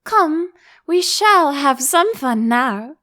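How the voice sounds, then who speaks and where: A woman voicing a prim, curious young girl in a storybook, quick to object and easily indignant. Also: sits high, lively intonation, sharp tone, voice pushed up, full character voice. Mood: eager.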